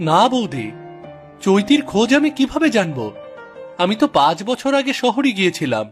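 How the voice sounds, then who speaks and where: A man's voice: sits high, very animated, moderate pace. A man playing an earnest young villager in a cartoon story, speaking in a pleading, insistent, emphatic way. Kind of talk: dramatized Bengali cartoon story dialogue. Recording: music behind the voice.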